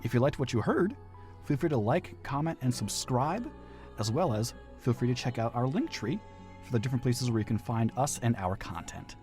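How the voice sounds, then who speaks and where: A man's voice: medium pitch, animated, normal speed, darkish tone. A man reading scripted credits and an outro as the show's producer. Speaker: writer and producer announcing credits. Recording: music under the voice. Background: music.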